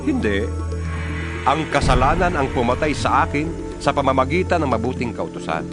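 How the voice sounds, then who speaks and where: A man's voice: mid-range voice, sing-song, moderate pace. A man reads scripture as a dramatized narration, solemn and emphatic in an oratorical way. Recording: music behind the voice. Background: background music.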